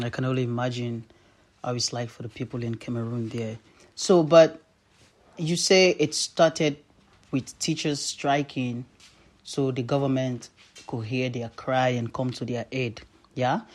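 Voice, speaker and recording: A man asks long, winding interview questions, speaking at a middling pitch. He is probing and fills his pauses with "like" and "um". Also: a little mellow. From West Africa.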